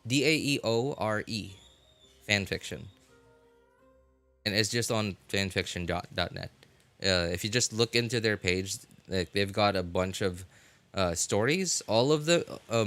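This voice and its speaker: A man streaming a game live, chatting casually and haltingly as he thinks aloud. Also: lowish voice, pushed and bright. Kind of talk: casual rambling livestream chat. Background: music.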